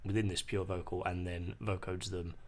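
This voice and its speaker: Low-voiced man giving a hesitant tutorial. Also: little pitch movement. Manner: hesitant, explanatory, pausing mid-sentence. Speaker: music producer giving a tutorial. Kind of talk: unscripted screen-recorded software tutorial. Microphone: voice-over on a screen recording.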